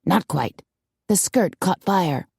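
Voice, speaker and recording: A woman's voice: lowish voice, lively intonation, slow pace, vocal fry, darkish tone, slightly rough, dry recording, heavily acted. A woman voicing a sardonic, dramatic young female gossip with a dry drawl in an audiobook story. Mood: gleeful gossip.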